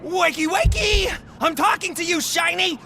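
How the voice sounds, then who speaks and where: An actor playing a panicked, volatile criminal hostage-taker in a video game, shouting frantic threats and taunts. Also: male voice, very high-pitched, normal speed, gravelly, a little hiss or hum, exaggerated voice. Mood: threatening, frantic.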